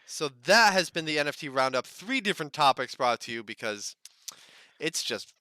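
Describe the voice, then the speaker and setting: A man's voice: on the high side, lively intonation, moderate pace, sharp tone, clear, resonant tone, no room sound. A man co-hosting a gaming podcast, giving news follow-ups in a wry, self-deprecating way. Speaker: podcast co-host. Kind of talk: casual gaming news chat.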